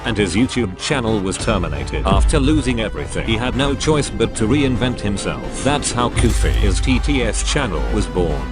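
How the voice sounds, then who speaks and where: Low-pitched man narrating gravely over loud music. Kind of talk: scripted dramatic documentary-style narration.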